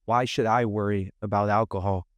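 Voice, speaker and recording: A cocky young guy who thinks he can drink anything, as a host puts him on. He sounds brash and dismissive, with a smooth voice that is a touch low and recorded dry. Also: fairly even intonation, fairly quick, dry recording, natural voice. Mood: defiant.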